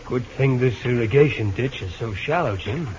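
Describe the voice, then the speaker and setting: Muffled old radio sound with faint noise frames a man playing a wry sergeant sidekick. His mid-pitched voice is colloquial and dryly humorous, in wartime adventure-serial style. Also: normal speed, natural voice. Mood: wry, game. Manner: colloquial, dry humour. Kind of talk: 1940s radio serial acting.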